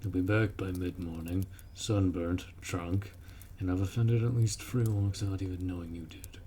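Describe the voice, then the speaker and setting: A man playing a gruff, dutiful orc warrior in a fantasy roleplay audio drama, blunt and protective as he speaks to the listener. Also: low-pitched, fairly even intonation, moderate pace, warm tone, a little hiss or hum, lightly acted. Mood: teasing, blunt.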